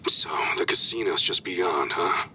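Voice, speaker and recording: A man's voice: sits low, lively intonation, measured pace, in a whisper, rough recording. A man playing a brash, good-natured yakuza hero in a video game, talking in an informal, blunt way. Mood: hushed curiosity. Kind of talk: English video game voice acting.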